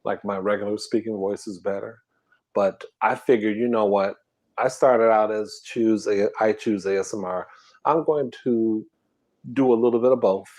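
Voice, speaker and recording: Chill guy murmuring soft and quiet on his eating stream, low voice, real laid back. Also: moderate pace. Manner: soft, quiet, half-whispered talk; relaxed and drowsy, reading comments aloud. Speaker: ASMR mukbang channel host. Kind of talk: casual live-stream chat while eating.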